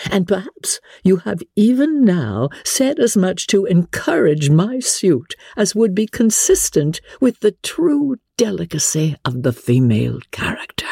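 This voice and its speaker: A woman's voice: deep voice, creaky, warm tone, husky, no echo, clean studio sound, exaggerated voice. A woman voicing a pompous, self-satisfied male suitor in a literary audiobook, long-winded and unctuous. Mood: pompous, undeterred.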